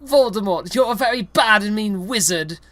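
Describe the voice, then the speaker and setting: A defiant teenage boy wizard played by a comic reader, pitched high and loud. He declaims with earnest bravado, as in a parody storybook. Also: expressive, moderate pace, no room sound, lightly acted. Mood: savage. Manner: declamatory, yelling.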